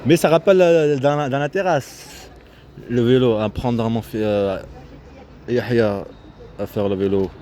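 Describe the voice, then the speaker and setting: A man giving a casual, rambling vlog commentary in a halting, repetitive way, often breaking off his own sentences. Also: mid-range voice, warm tone. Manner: halting, repetitive, self-interrupting. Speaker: vlogger. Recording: noisy outdoor recording with vehicle sounds.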